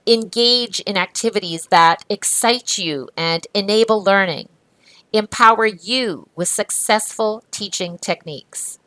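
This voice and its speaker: A woman's voice: mid-range voice, unhurried, a bit bright, no echo, low-quality recording. A woman presenting a music course in upbeat, polished, scripted narration. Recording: dry studio voice-over.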